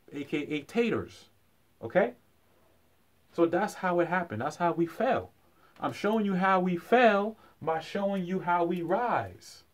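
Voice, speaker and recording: A man giving an informal explanatory lecture in colloquial speech, emphatically repeating key phrases and asking rhetorical questions. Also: a little high.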